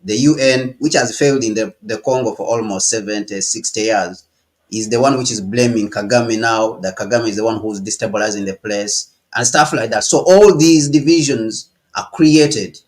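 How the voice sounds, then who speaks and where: A man's voice: fairly low pitch, moderate pace, darkish tone. A man from Ghana and Uganda, a guest giving political commentary on a live stream, who often repeats words and says "you know".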